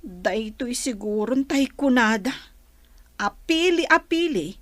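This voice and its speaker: A woman acts out a young village woman with an Ilocano accent, wounded and resentful. Her voice is very high, and the sound is close and dry, in radio drama style. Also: animated, slow pace, dry recording. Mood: brooding.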